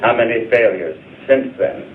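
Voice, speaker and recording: A man's voice: mid-range voice, moderate pace, close to an everyday voice. An actor playing a curt, sardonic henchman in a 1960s horror film, with a dry way of talking. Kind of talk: 1960s B-movie horror acting. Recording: muffled noisy old film soundtrack.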